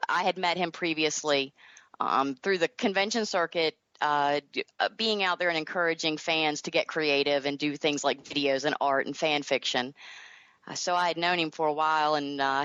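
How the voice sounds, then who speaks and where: Southern US woman with a lowish voice. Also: a bit bright, clear, resonant tone, dry recording, dull audio. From Southern United States. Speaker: podcast guest, author. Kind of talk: friendly interview talk.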